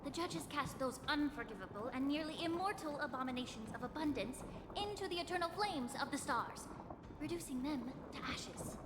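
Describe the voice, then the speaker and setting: A woman's voice: sits high, animated, normal speed, reverberant, big-room sound, noisy background. An actress playing a poised female healer in a video game, speaking in a formal, polite and precise way. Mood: cool, reproachful. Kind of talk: scripted video game character dialogue.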